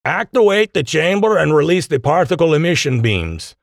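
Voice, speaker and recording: A man voicing a proud, courteous senior scientist who speaks in a formal, deliberate way in an audiobook. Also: on the high side, big pitch swings, creaky, dry recording, clean studio sound, voice pushed up. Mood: proud, commanding.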